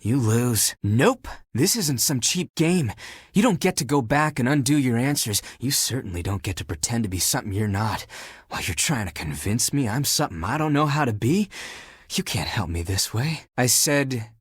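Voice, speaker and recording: A man's voice: medium pitch, lively intonation, normal speed, slightly rough, no echo, clean studio sound. A man playing a sassy, theatrical heap of dirty laundry that dreams of designing fashion in a video game, haughty, teasing and dramatic. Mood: scornful. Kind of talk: animated video game character acting.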